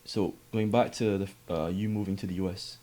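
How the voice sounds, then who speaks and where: A man hosting an interview podcast, asking halting questions and answering with frequent short affirmations. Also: low-pitched, steady tone, dark tone. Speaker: podcast host. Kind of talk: casual interview chat.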